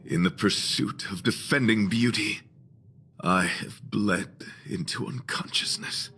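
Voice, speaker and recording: A man's voice: lowish voice, takes it slowly, bright, forceful tone, slight background noise. An actor playing a chivalrous young male knight devoted to beauty, speaking in a formal, flowery and solemn way in a video game. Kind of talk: video game character dialogue.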